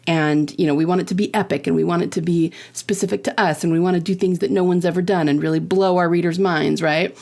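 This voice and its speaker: Low gravelly woman podcast host. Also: fairly even intonation, quick pace, hardly any pauses, darkish tone. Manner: chatty, often checks in with "right?" and "you know"; upbeat, laughs between points. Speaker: podcast host and fiction writing teacher. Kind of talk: casual podcast teaching.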